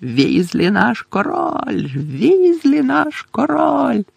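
A man voicing gloating school sports fans in an audiobook, singing a slow, drawn-out mocking chant. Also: very high voice, huge pitch swings, creaky, husky, dry recording, pitched above the performer's own voice, exaggerated voice.

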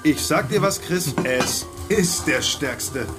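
An actor in a radio drama playing a flirtatious Berlin art dealer with a German accent, mixing German with clumsy English. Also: male voice, a little high, lively intonation, slow. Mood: boastful, smitten. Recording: music behind the voice.

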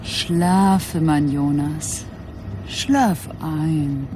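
Woman acting a coaxing young charmer, mid-pitched. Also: normal speed, noisy background, close to an everyday voice. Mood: soothing, coaxing. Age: young adult. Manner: flattering, coaxing, smooth-talking. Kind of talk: radio drama acting.

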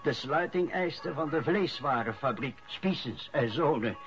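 A man's voice: on the high side, expressive, measured pace, fairly bright tone. An actor playing a man formally reading out a news report in a radio drama. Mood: solemn, formal. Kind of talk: Dutch-language radio drama acting. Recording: dull lo-fi recording, faint music.

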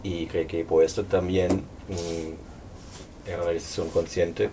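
A non-native Spanish speaker presenting their book at a public talk, speaking hesitantly and thoughtfully with many pauses and fillers. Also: low-pitched, level tone, distant mic, background noise. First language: not Spanish. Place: local venue at a public presentation.

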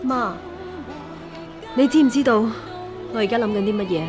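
A woman with a Hong Kong Cantonese accent playing a bitter, defiant young street singer in a radio drama, emotional and sharp-tongued. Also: fairly high pitch, normal speed, music in the background, natural voice. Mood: desperate, bitter.

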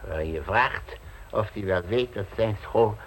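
A man's voice: on the low side, unhurried, lightly acted. An actor playing a grumpy, tight-fisted wealthy man in a radio play, stammering and repeating himself as he protests. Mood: indignant. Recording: noisy old radio play recording.

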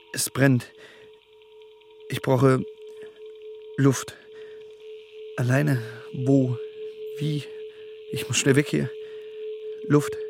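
An actor playing a desperate, impulsive young male lover in a radio drama, husky and agitated. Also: mid-range voice, noisy background. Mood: panicked. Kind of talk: intimate modern German radio drama acting.

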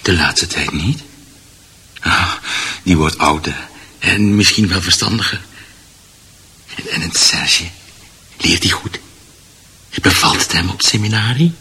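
An actor playing a confused, gentle husband who is shut away, speaking haltingly and repeating himself in a radio drama. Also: male voice, low voice, husky, heavily acted. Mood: tender, vague. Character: confused, gentle confined husband fretting about his garden.

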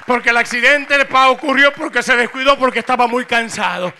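High bright-voiced preaching pastor. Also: male voice, steady tone, fairly quick, a little hiss or hum. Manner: swings from conversational to shouted preaching. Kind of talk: sermon.

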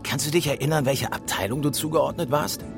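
A man's voice: fairly high pitch, brisk, gravelly, over music. A man playing a bossy, laconic guide in a virtual world who keeps talking pets, in a radio drama. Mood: dry, bossy.

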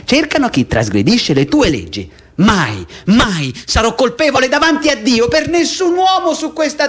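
A defiant, swooping, high-pitched condemned heroine. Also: male voice. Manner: declamatory, mocking, swinging from hushed to impassioned. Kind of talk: theatrical radio play acting.

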